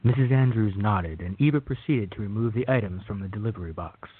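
Male narrator for audio drama, fairly low and rough-edged, scripted storytelling, dull recorded sound. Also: fairly quick.

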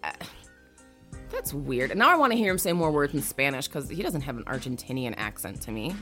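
A woman's voice: rumbling low, big pitch swings, fairly quick, fairly bright tone, soft background music. A woman co-hosting a casual podcast, swearing, laughing and reading out news stories. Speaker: podcast co-host.

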